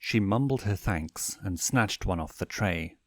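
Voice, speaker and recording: A man reading a story aloud in an expressive audiobook narration style. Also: sits low, measured pace, no room sound, studio quality. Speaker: fanfiction narrator.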